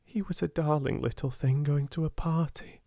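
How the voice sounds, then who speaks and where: Woman playing a high-voiced, passionate young schoolgirl. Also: steady tone, normal speed, mellow, no room sound, clean studio sound. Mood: fond. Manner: fervent, emphatic.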